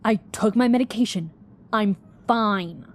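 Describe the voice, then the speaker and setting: An actress playing a terse, stubborn female investigator in an audio drama, speaking in a clipped, deadpan way. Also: mid-range voice, measured pace, slight background noise, lightly acted. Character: terse, stubborn female investigator, quietly tense travel companion.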